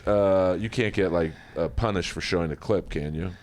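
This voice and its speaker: A man telling comedic anecdotes in casual podcast banter, rambling and halting as he goes. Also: deep voice, darkish tone. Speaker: podcast guest telling old wrestling stories.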